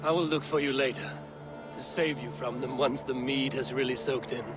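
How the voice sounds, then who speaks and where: An actor playing a calm, dutiful Viking warrior in a video game cutscene, with outdoor noise behind. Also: male voice, medium pitch, normal speed, over music, natural voice. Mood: solemn, steady.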